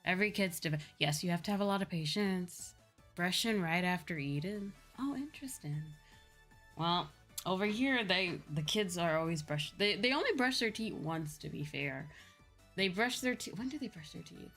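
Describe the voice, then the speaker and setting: A woman's voice: lowish voice, animated, moderate pace, a bit bright. A woman livestreamer chatting casually with viewers, explaining things conversationally and often repeating her points. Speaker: streamer, VTuber host chatting with viewers. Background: background music.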